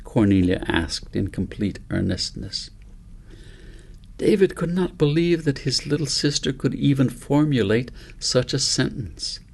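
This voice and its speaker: A man's voice: medium pitch, animated, measured pace, gravelly, breathy edge. A man reading a storybook aloud as scripted narration. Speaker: author reading own book aloud.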